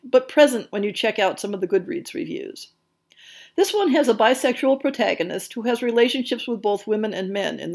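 A woman hosting a podcast, delivering scripted book news in an articulate, measured way with bookish phrasing. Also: medium pitch, gravelly, darkish tone. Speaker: podcast host.

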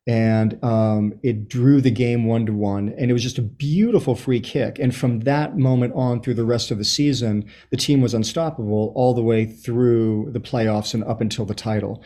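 A man in his fifties with an American accent, answering interview questions in a thoughtful, halting, storytelling way. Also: medium pitch, mellow. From Los Angeles, USA, born in Chile. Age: 50s. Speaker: interview guest, soccer writer and supporter. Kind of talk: reflective conversational interview answers.

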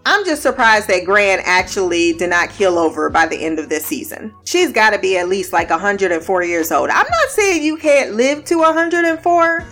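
A woman's voice: medium pitch, big pitch swings, normal speed, few pauses, a bit bright, faint music behind. A woman hosting a casual TV review podcast, blunt, laughing often and swearing freely. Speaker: podcast host.

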